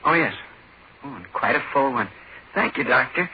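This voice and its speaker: An actor in a 1940s radio horror play voicing a poisoned man, halting, stammering and threatening. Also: medium pitch, lively intonation, natural voice. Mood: smug, halting. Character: poisoned man, choking, gasping and threatening to kill. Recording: muffled, noisy 1940s radio recording.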